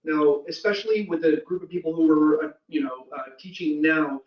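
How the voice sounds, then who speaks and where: A man's voice: fairly high pitch, normal speed. A man hosting a webinar, putting conversational questions to the presenter, on distant, roomy, dull audio. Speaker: webinar host.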